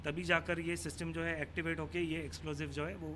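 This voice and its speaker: A man's voice: on the high side, little pitch movement, quick pace. A man with an Indian accent explains and demonstrates as a technical expert, mixing Hindi with English technical phrases. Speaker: technical expert being interviewed. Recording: clearly noisy on-location ambience.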